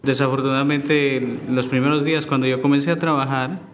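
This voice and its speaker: A male refugee speaking in a flat, weary way in a field interview. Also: mid-range voice, fast talker, a little hiss or hum.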